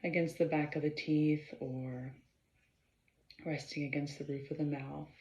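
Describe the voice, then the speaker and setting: A woman leading a guided meditation in a measured, halting way, pausing between short phrases and often clearing her throat. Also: deep voice, fairly even intonation, darkish tone. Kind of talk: slow guided meditation instruction. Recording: roomy, slightly dull sound.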